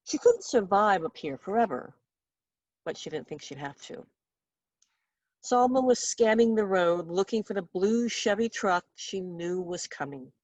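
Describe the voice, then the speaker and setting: A woman's voice: medium pitch, expressive, a little slow, a little mellow, dry recording, muffled recording. Female author for a story reading: wry, theatrical storyteller, mid-range voice, very little room sound. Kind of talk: expressive literary story reading.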